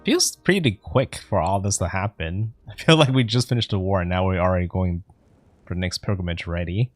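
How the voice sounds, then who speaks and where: Guy streamer w low voice, chatty game commentary, reacting out loud, faint music. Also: a little fast, no room sound. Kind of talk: casual let's play commentary.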